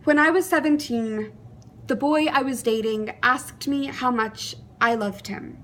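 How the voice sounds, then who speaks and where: A young adult woman performing a dramatic monologue in an earnest, deliberate, emotive way, with pauses. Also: a little high, a bit bright.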